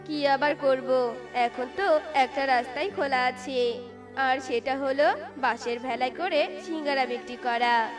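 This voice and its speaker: A woman's voice: high voice, measured pace, over music. A woman voicing a humble, hardworking male bird who sells snacks. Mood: hopeful. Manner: pleading, hawking cheerfully.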